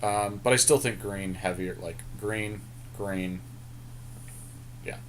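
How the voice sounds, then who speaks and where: A man's voice: fairly low pitch, steady tone, takes it slowly, a little hiss or hum, low-quality recording. A man co-hosting a podcast, chatting casually and thinking aloud in informal slang as he talks through a card game draft. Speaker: podcast co-host.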